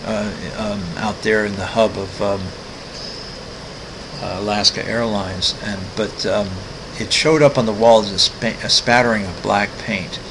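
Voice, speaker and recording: Older guy, low gravelly voice, lots of ums, noisy home recording. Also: fairly even intonation, unhurried. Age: 60s. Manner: rambling, many ums and restarts. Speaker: artist walking through his website. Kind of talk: casual screen-recorded walkthrough.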